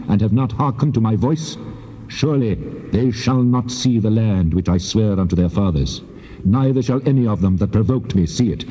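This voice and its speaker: A man's voice: mid-range voice, warm tone, natural voice. A man playing a wrathful, majestic God in a dramatized scripture recording, speaking in solemn declamation. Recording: muffled, long cavernous reverb.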